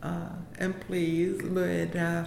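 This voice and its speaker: Low-voiced Puerto Rican woman, halting speech. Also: completely flat delivery, vocal fry, darkish tone. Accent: Puerto Rican Spanish. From Puerto Rico. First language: Spanish. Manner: halting, many fillers and restarts, laughs often. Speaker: interviewee, health center employee. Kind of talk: casual interview. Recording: echoey room, faint background noise.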